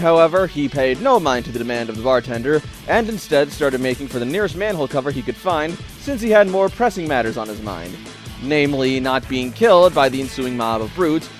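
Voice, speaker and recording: Guy narrating an audio story, theatrical delivery, mid pitch, faint music under him. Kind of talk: scripted dramatic story narration.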